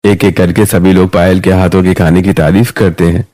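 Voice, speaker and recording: A man's voice: low voice, little pitch movement, normal speed, mellow. A man narrating a scripted moral story.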